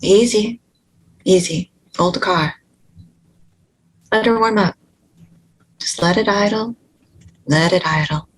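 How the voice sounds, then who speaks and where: A woman's voice: medium pitch, slow pace, long pauses, breathy edge, low-quality recording. A woman acting a wary, practical fugitive in a screenplay table read, coaching her partner. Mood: urgent, steadying.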